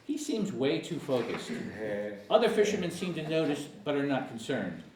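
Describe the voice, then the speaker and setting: A man narrates stage directions at a table read of a screenplay. His mid-pitched voice moves up and down a lot, picked up at some distance in a roomy space. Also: sing-song, normal speed, a little mellow. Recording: echoey room, distant mic, faint noise.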